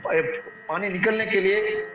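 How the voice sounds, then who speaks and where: A man's voice: high voice, level tone, a little hiss or hum. A man with a Bihari accent discussing local issues as a guest, repeating himself and searching for words. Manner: halting, repetitive, searching for words. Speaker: local activist guest in online debate. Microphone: online call. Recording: echoey muffled online call, background crowd murmur.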